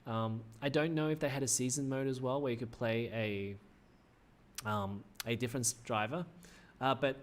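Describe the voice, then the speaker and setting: A man's voice: mid-range voice, steady tone, normal speed. A man in his thirties hosting a casual gaming livestream, rambling with many fillers and restarts, his voice a little worn. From Australia. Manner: rambling, self-correcting, frequent "uh" fillers. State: slightly losing his voice. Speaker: livestream host.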